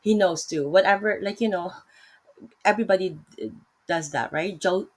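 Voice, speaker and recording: A woman's voice: fairly low pitch, moderate pace. A woman with a Filipino accent giving a casual Bible talk on her laptop, rambling and correcting herself with lots of fillers. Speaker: vlogger teaching Bible study.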